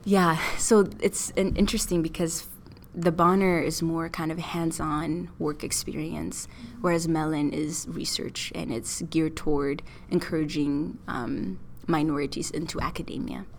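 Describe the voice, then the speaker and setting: A young woman student from Ethiopia, talking about her studies and experiences thoughtfully, with fillers and pauses. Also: deep voice, fairly even intonation, vocal fry, a little hiss or hum. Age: young adult. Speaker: student interviewee. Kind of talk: casual interview.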